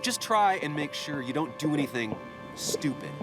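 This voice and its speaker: An actor playing a teasing yet supportive inner-voice companion in a video game, with a wry, coaxing manner. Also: male voice, a little high, sing-song, normal speed, loud music behind the voice, rough recording. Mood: coaxing, reassuring.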